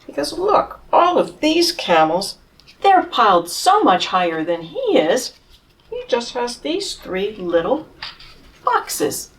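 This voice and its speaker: Woman storyteller for children, slow and gentle, lots of pitch movement, recorded from a distance. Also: wildly swooping pitch. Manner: gentle, explains pictures and asks children questions. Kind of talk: picture-book read-aloud.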